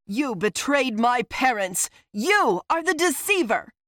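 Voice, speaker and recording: A woman voicing a vengeful, righteous magic wielder who accuses forcefully in a fantasy audiobook. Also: fairly low pitch, lively intonation, normal speed, no room sound, clean studio sound. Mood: furious.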